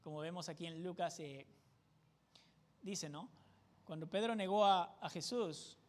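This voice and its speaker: A man with a fairly high voice and a Peruvian jungle accent teaches scripture verse by verse. His delivery is conversational, and he keeps tossing out quick check-in questions to the congregation. The sound carries a long, echoey church-hall reverb. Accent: Peruvian jungle (selva) Spanish. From Peru. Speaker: pastor teaching a Bible study. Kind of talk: verse-by-verse Bible teaching sermon.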